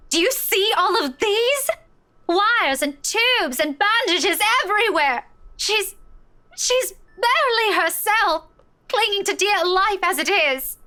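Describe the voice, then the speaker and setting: High-voiced, worried, weary young-sounding woman, acted. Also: sharp tone, full character voice. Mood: distressed, worried. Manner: hesitant, earnest, stammering slightly. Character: anxious, weary woman keeping a hospital vigil for a friend. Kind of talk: visual novel voice acting.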